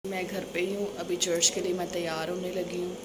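A woman's voice: fairly low pitch, flat delivery, fairly quick. Young woman, casual vlog, phone at arm's length, small room, background noise. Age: young adult. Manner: chatty, addresses viewers directly. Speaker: vlogger and Sunday school teacher. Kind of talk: casual vlog chat to camera. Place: small room at home, indoors. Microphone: phone camera at arm's length.

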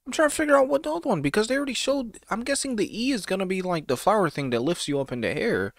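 A man's voice: high voice, very animated, racing, creaky, no echo. A young man giving casual, slangy, exclamatory reaction commentary as host of a video, close to the mic. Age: young adult. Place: small home room. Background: game trailer music.

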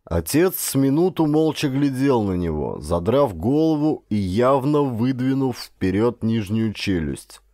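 A man's voice: medium pitch, very animated, a little slow, few pauses, dark tone, no echo, clean studio sound. A man reading a first-person adventure story as an audiobook narrator, in a scripted literary style.

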